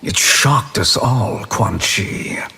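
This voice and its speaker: A man's voice: lowish voice, drawn-out words, gravelly, over loud music, exaggerated voice. A man playing a cunning, haughty sorcerer in a fighting game, with a calculating manner. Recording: long reverb, faint noise.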